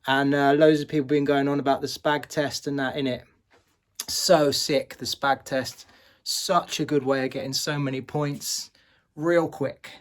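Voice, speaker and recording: A man's voice: medium pitch, flat delivery, measured pace. A man playing up a boastful YouTuber persona, touring his garage and giving test tips to pupils in a jokey, slangy way. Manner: slangy, boastful, jokey with repeated "innit" and "sick". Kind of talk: tongue-in-cheek mock-YouTuber garage tour and advice.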